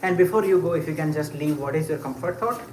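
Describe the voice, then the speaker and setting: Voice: medium pitch, fast, a little mellow. Rambling livestreamer in an echoey space. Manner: rambling, musing aloud with frequent fillers and short laughs; talks while walking. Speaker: livestreamer answering chat. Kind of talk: casual live chat. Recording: echoey space with clear ambient noise.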